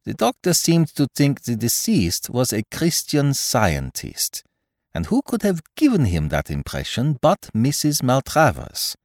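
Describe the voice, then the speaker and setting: A man voicing a shrewd, self-assured detective in a mystery audiobook, brisk, precise and gently chiding. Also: mid-range voice, wildly swooping pitch, dry recording, studio quality, voice pushed up. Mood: explaining, superior. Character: shrewd, self-assured, fussy male detective, calmly superior. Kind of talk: dramatised audiobook reading of a mystery story.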